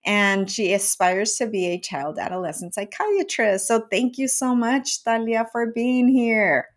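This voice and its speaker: A woman's voice: on the high side, a little slow. A woman hosting an interview podcast, with an upbeat, encouraging way of talking. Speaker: podcast host.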